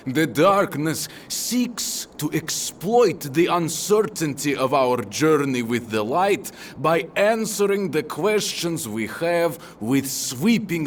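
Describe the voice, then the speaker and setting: A man playing a noble, earnest warrior in a fan audio drama, speaking in measured, impassioned oratory. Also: mid-range voice, far from the mic, a little hiss or hum. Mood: grave, solemn. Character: noble, earnest male warrior rallying his comrades with solemn conviction.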